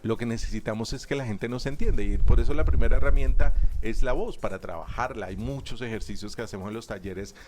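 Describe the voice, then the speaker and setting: A man's voice: mid-range voice, quick pace, few pauses, background noise. A man, a public speaking instructor talking as a guest in a radio booth, emphatic and stacking repeated parallel phrases. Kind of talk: radio interview guest explaining.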